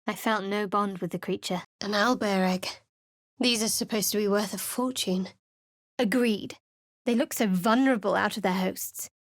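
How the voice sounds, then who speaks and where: A woman's voice: mid-range voice, steady tone, normal speed, dry recording, lightly acted. An actress voicing a guarded, sardonic young female cleric in video game voice lines, with a cool, dry manner. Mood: wry.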